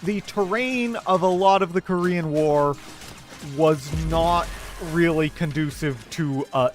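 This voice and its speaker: Slow-talking male game streamer. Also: fairly high pitch, soft background music. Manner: halting, thinking aloud. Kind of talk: casual rambling commentary while gaming.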